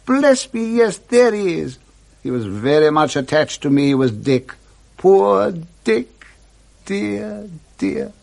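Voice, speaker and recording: A man's voice: sits high, sing-song, slow, vocal fry, a little mellow, in a large hall, pitched above the performer's own voice, heavily acted. A man playing an emotional, remorseful old miser with an English accent in a radio drama. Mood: wistful, delighted. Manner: wistful, agitated. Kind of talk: 1960s British radio drama.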